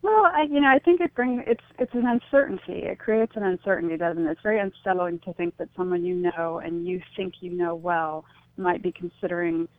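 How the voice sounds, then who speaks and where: A woman's voice: lowish voice, dry recording. A woman, speaking reflectively and tentatively as an interview guest over a phone-like line. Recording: thin, phone-like call-in line.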